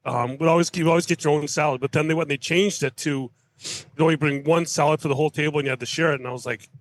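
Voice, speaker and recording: Higher-pitched man, casual guest chat. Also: fairly even intonation, rapid-fire. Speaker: podcast guest.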